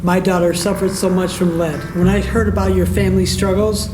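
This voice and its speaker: An earnest, caring attorney in a radio drama, played by a man. His voice is notably high, set in a roomy space with music clearly playing behind it. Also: little pitch movement, fairly quick, natural voice. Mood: sympathetic, sorrowful. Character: earnest, compassionate male lawyer, a bereaved father. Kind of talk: radio play acting.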